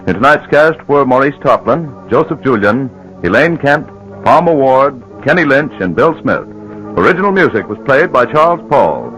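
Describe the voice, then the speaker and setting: A man's voice: medium pitch, sing-song, moderate pace, fairly bright tone. A man announcing in a scripted 1940s radio style as he closes out a broadcast. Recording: dull old radio broadcast recording. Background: music.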